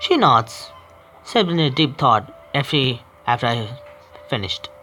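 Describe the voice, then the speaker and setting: A man's voice: medium pitch, expressive, unhurried, bright, forceful tone, faint music behind. A man streaming himself reading a visual novel aloud, often stumbling and correcting himself.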